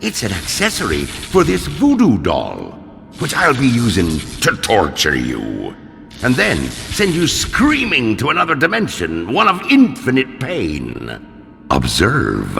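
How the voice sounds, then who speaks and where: Gravelly undead pirate villain, theatrical and gloating, slow-rolling delivery, cavernous reverb. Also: male voice, medium pitch, wildly swooping pitch, a bit bright, faint music behind, heavily acted. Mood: gloating menace. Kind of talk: video game character acting.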